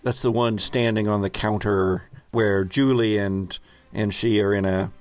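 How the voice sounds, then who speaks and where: A man narrating a tabletop roleplaying game as game master, in a halting, deliberate storytelling manner. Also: lowish voice, steady tone, darkish tone, no echo, soft background music.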